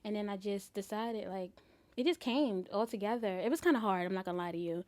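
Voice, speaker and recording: A woman's voice: mid-range voice, fairly quick, a little mellow. A young woman from the Bronx, chatting easily as a singer being interviewed. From New York, the Bronx. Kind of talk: casual interview chat.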